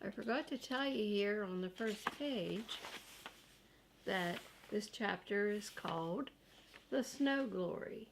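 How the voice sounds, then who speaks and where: A woman's voice: medium pitch, slow pace, gravelly, slight background noise. An older woman reading a children's storybook aloud as a grandmother, expressively and with casual asides.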